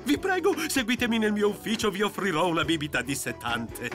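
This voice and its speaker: Fussy melodramatic cartoon director, really high voice, swoops all over, music behind. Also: male voice, huge pitch swings, a little fast. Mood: pompous, courteous. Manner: melodramatic, exclamatory. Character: fussy, excitable male show director, theatrically desperate. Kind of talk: cartoon dub acting.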